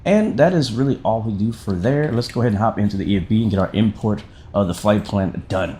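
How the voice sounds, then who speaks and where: Low-pitched, slightly raspy man streaming. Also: quick pace, warm tone, a little hiss or hum. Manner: chummy, slangy, thinking aloud. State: getting over a sickness, voice not fully back. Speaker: flight sim livestream host. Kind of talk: casual stream commentary.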